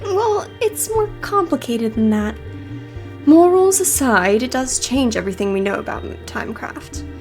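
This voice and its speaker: A scholarly game character, acted by a woman, with a fairly high female voice. She lectures in a measured, precise way over background music. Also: very animated, darkish tone, full character voice. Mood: lecturing, precise. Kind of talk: video game character voice read aloud.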